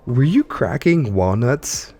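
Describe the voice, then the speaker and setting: A man's voice: medium pitch, very animated, slow pace, dark tone, soft background music. A man hosting a casual gaming podcast, chatting while congested from allergies. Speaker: podcast host.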